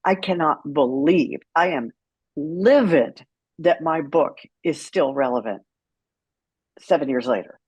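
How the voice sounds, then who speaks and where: A woman's voice: low voice, lively intonation, takes it slowly, darkish tone, husky, no echo, muffled recording. A woman speaking as an interview guest, telling her story in a candid, outspoken and emphatic way. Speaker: guest, author and caregiver advocate.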